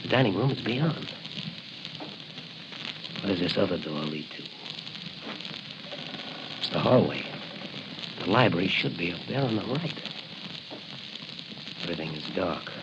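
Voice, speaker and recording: A man's voice: sits low, lively intonation, a little slow, pauses often. An actor playing a bold, determined masked crime-fighting patrolman, thinking aloud in clipped remarks in 1940s radio style. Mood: wary, intent. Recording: noisy, muffled 1940 radio recording.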